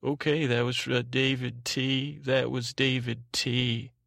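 A man with a creaky voice hosting a sleep podcast in a halting, meandering, informal monologue that often doubles back on itself. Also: mid-range voice, flat delivery, fairly bright tone, no room sound, clean studio sound. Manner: halting, meandering, self-interrupting. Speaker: podcast host.

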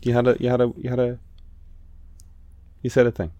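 A man's voice: mid-range voice, monotone, brisk, warm tone, no echo, highs cut off. A man co-hosting a podcast in an easygoing, teasing way, with lots of fillers as he talks. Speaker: podcast co-host. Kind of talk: casual chat.

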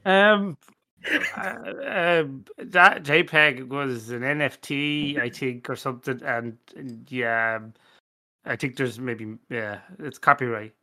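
A man improvising on a comedy podcast, halting and prone to swearing. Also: mid-range voice, steady tone, sharp tone. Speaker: podcast panellist.

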